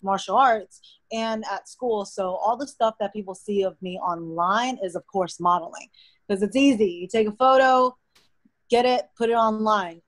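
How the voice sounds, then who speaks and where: A young American woman whose first language is Mandarin, telling stories in a relaxed, chatty way as a podcast guest. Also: mid-range voice, normal speed, no room sound. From United States. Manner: relaxed, chatty storytelling with frequent "like". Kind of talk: casual interview conversation.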